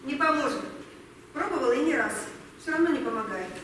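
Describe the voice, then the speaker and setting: Актриса играет усталую девушку-лейтенанта, очень гулкая запись. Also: female voice, high-pitched, animated, a little fast. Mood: matter-of-fact. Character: young sleepless woman army lieutenant, weary, haunted, frank. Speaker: amateur theatre actor. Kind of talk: audio play acting. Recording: very echoey hall, distant mic, clear noise.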